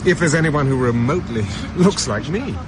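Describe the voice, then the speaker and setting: An actor plays a resourceful male fugitive in a radio drama. His mid-range voice is guarded and clipped in phrasing yet varies widely in pitch, over clear traffic noise. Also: expressive, normal speed, natural voice. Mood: calculating. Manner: terse, guarded.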